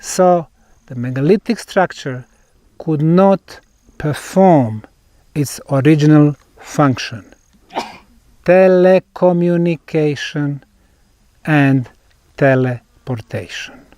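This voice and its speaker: A man with a Bosnian accent explains a site on location as a researcher, in deliberate, emphatic short phrases with pauses. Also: on the high side, dark tone, no echo. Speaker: researcher presenting a field investigation.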